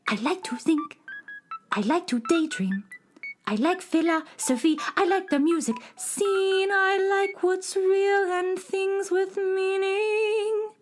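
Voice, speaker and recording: A woman playing a dreamy beatnik cat-girl, singing in drawn-out rhyming lines for a children's radio musical. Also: extremely high pitch, soft background music. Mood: dreamy, self-assured.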